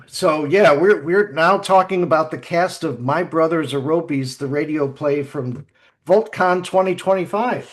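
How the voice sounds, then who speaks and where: Man, podcast host, higher-than-average pitch, casual, enthusiastic, appreciative introductions. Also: a little slow.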